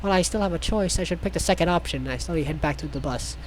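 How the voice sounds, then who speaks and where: High-voiced male reader, hammy and sarcastic, tripping over words, faint noise behind. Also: fast, low-quality recording. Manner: theatrical, sarcastic asides, stumbles over words. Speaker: host reading a visual novel aloud. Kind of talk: mocking dramatic read-aloud with ad-lib commentary.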